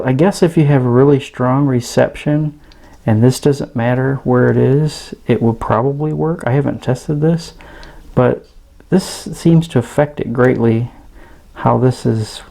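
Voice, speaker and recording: A man's voice: medium pitch, a little slow, gravelly, warm tone. An American man giving a casual, unscripted product review, hesitant and thinking aloud as he goes. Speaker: radio reviewer.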